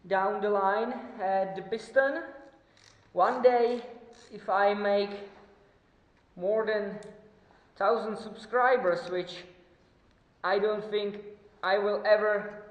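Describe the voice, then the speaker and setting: A high-voiced man from the Czech Republic with Czech as his first language, speaking very slowly in casual workshop commentary. He stalls on fillers and chuckles between thoughts. The sound is roomy, with a long echo tail. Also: fairly even intonation, highs cut off. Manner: halting, with frequent fillers and chuckles. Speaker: motorcycle mechanic vlogger.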